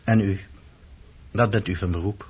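An actor playing a dignified, serious lawyer of about thirty in a radio play, speaking in a measured, courteous, explaining way. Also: male voice, sits low, steady tone, breathy edge. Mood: grave, probing. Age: 30s. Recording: dull, muffled old radio recording.

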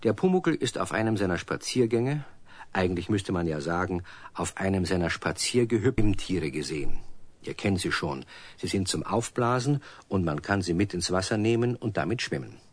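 A man's voice: low-pitched, animated, moderate pace, no room sound. A man narrating a children's radio play as a storyteller, addressing young listeners in a friendly way. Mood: explaining.

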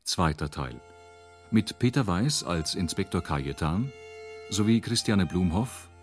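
A man's voice: deep voice, expressive, unhurried. A man reading a scripted announcement as the announcer of a radio drama. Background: string music.

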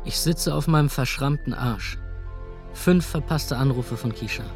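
A man narrating in the first person in a radio drama, rough and weary, with coarse language. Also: mid-range voice, measured pace, faint music behind. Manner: rough, weary inner monologue with coarse words. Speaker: narrator and lead character.

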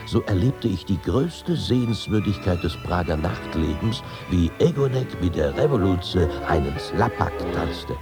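An actor reading as an admiring contemporary witness in a literary radio feature. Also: male voice, low voice, expressive, measured pace, few pauses, full character voice. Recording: music playing clearly behind.

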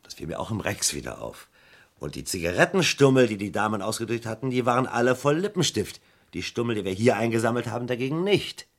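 An actor playing a suspicious, methodical police detective in a German crime radio play, speaking deliberately and with pointed emphasis. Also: male voice, medium pitch, big pitch swings. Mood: deducing, emphatic. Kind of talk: German crime radio play acting, mid to late 20th century.

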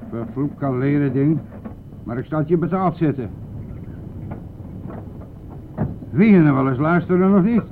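Acteur als mopperende, ongeduldige buschauffeur, hoge mannenstem. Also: male voice, fairly high pitch, lively intonation, brisk, natural voice. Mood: cursing, exasperated. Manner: grumbling, cursing, brusque. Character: gruff, impatient male bus driver with a temperamental old bus. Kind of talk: radio play acting. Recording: muffled, narrow-band, noisy old radio play.

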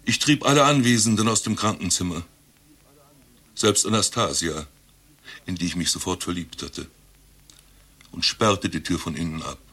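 Husky, forceful deadpan man. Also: low voice, normal speed, long pauses. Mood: grandiose, storytelling. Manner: deadpan, grandiose storytelling. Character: eccentric doctor claiming to be Rasputin reincarnated. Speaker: doctor recounting his past life. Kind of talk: satirical radio play acting and narration.

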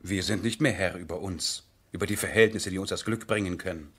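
An actor playing a passionate, headstrong nobleman in love, husky and theatrical, in a 1970s German radio drama. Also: low voice, brisk. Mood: pleading, agitated. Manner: impassioned, theatrical, swinging from hushed to ringing.

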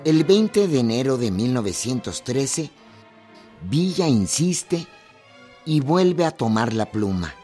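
A man's voice: mid-range voice, sing-song, slow pace, mellow, soft background music. A man narrating a radio drama from a script.

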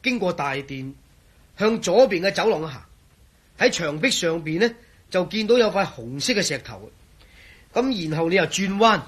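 A man acting a proud, excitable engineer and grumbling companion in a radio drama, with a Hong Kong Cantonese accent. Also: sits high, normal speed, long pauses, slightly rough, full character voice. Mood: careful, warning.

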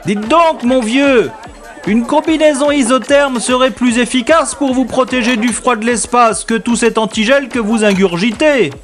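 An actor playing a wry, world-weary special agent in a science-fiction audio drama, with deliberate, slightly pompous phrasing. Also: male voice, very high-pitched, soft background music, some chatter behind. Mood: loud, brisk.

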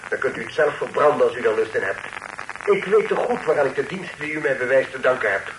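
An actor playing a shrewd, proud fugitive gentleman in a Dutch radio play, speaking firmly and formally with measured poise. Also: medium pitch, lively intonation, lightly acted. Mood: cold, firm. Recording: roomy, noisy old radio play recording.

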